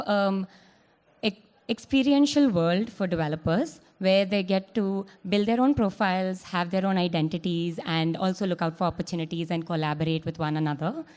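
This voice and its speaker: A woman from India introduces herself and her organization on a conference panel. Her voice sits fairly low, and she explains her work fluently and steadily. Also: a little mellow.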